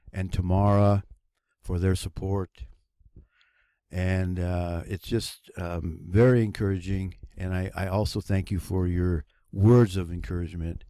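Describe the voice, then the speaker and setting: A man from the United States, hosting his own channel in a solo monologue, talking slowly and deliberately with a preachy emphasis and halting restarts. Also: lowish voice, dark tone, no room sound, studio quality. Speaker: channel host. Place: cold home running on a generator.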